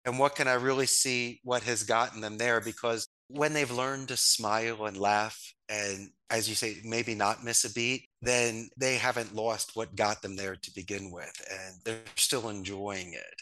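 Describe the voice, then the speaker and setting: A man from Northeast Philadelphia, a business owner guesting on a podcast, talking in a casual and animated storytelling way. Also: poor audio. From Northeast Philadelphia, USA. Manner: animated, storytelling, quick jumps in pitch when amused. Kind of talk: casual interview talk.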